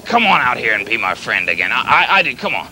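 A kindly pirate captain for a children's show. The voice is high for a man, very bright and forceful, stumbling and repeating itself in a folksy, flustered way, over faint noise. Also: sing-song, exaggerated voice, rough recording. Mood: apologetic. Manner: rambling, halting, stammering, repeats himself.

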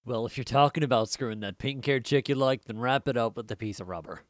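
A man voicing a smirking, crude high school troublemaker in an audiobook, blunt and scoffing. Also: fast, dry recording, clean studio sound, close to an everyday voice. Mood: smug. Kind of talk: audiobook character acting.

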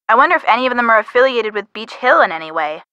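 A woman's voice: fairly high pitch, sing-song, quick pace, pushed and bright, no echo, dull audio. A young woman playing a helpful, perky friend in a detective video game, chatty and teasing as she gives scripted hints. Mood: helpful, nudging. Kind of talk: scripted game hint dialogue.

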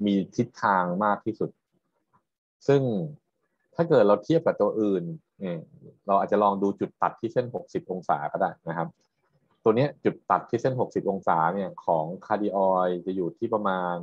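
Low-voiced male lecturer, roomy dull recording. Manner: explanatory, checks understanding with frequent tag questions. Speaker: university lecturer. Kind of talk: technical lecture with questions to students.

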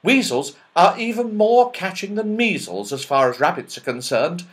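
A man voicing an old, long-bearded male elf who is fussy and easily frightened, in a high, squeaky, fretful voice. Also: animated, moderate pace, a bit bright. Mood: chatty. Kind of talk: storybook character voice.

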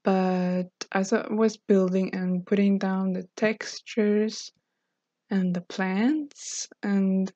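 Young Norwegian woman with mellow voice. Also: mid-range voice, steady tone, takes it slowly, no echo. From Norway. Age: 20s. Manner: friendly, chatty, frequent fillers and light laughs. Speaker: Sims speed-build YouTuber. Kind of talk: casual voice-over narration. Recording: slightly dull, dry home recording.

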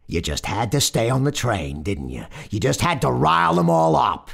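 A man voicing a brash, irritated, sweary train motorman with a gruff Brooklyn accent in an audio horror story. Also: sits high, fairly quick, a little mellow, pitched above the performer's own voice, natural voice. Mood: angry, scolding.

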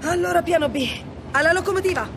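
A woman's voice: very high-pitched, fast, background noise. A woman playing a bold, sarcastic adventurer heroine in an action video game, wry and exclamatory. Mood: urgent. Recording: loud action game soundtrack behind.

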